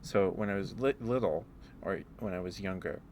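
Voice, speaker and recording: Casting: male ASMR streamer, low register, halting off-the-cuff rambler, noisy ambient backdrop. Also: a little mellow. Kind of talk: rambling casual ASMR chat. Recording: clearly audible background noise.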